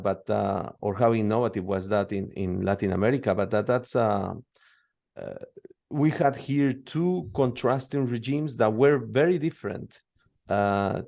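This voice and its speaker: Hesitant man from Peru, gravelly low voice. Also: darkish tone. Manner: hesitant, many fillers and restarts. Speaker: guest expert. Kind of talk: interview answers.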